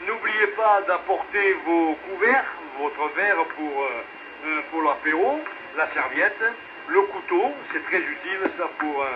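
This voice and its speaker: A man casually hosting an amateur radio net as its controller, often pausing to think, heard over a radio relay. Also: a little high. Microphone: two-way radio transmission. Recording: muffled, noisy VHF amateur radio relay.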